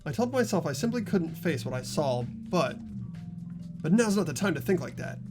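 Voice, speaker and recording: A man voicing an earnest, anguished teenage boy student investigating a murder, in dramatic halting monologue for a visual novel. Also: fairly high pitch, big pitch swings, music in the background. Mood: shaken, resolute. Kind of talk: voiced visual novel reading.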